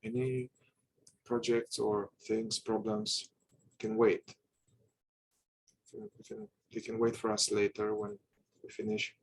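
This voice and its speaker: A man guiding a meditation with calm instruction, speaking in unhurried, halting short phrases with long pauses. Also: fairly low pitch, a little hiss or hum, low-quality recording. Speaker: meditation guide.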